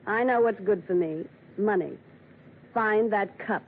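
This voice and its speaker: A woman's voice: mid-range voice, unhurried, close to an everyday voice. Woman playing a brazen femme fatale, tinny old radio. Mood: hard, mercenary. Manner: cool, brazen, arch. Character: scheming, mercenary femme fatale wife. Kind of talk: 1940s radio drama acting. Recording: tinny, faintly noisy old radio broadcast.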